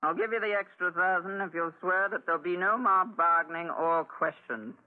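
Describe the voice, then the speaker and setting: A woman's voice: on the low side, normal speed, close to an everyday voice. A woman acting a bossy, flirtatious bar proprietress in a 1940s radio drama, brassy and teasing. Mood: coaxing, teasing. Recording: phone-like 1940s radio recording.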